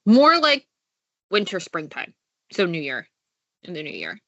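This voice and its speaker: A woman's voice: fairly low pitch, huge pitch swings, measured pace, fairly bright tone. A congested young woman co-hosting a podcast, chatting casually, teasing and swearing freely. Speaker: podcast co-host. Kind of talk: casual chat. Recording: muffled lo-fi recording.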